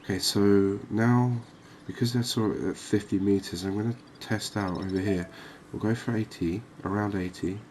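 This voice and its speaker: A man giving quiet, cozy ASMR commentary on a game, unhurried and thinking aloud. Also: lowish voice, fairly even intonation, slight background noise. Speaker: gaming video host.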